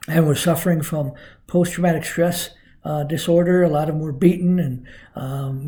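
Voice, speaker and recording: A middle-aged man, a former investigator, giving an unscripted lecture on criminology, talking haltingly with many ums, uhs and restarts. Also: on the high side, a little mellow. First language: Spanish.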